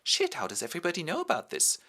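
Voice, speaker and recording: A man's voice: on the high side, wildly swooping pitch, quick pace, sharp tone, voice pushed up, heavily acted. A man voicing a vain, cowardly prince who whines petulantly.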